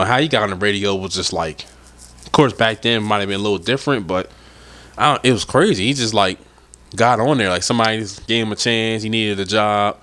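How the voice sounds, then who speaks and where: A young man talks loosely on his own podcast, rambling and swearing as he goes. His voice sits a bit low and sounds slightly stuffed up from a cold. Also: fairly bright tone. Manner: rambling, profane, laid-back. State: stuffy nose from a head cold. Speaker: podcast host. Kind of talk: casual solo podcast talk.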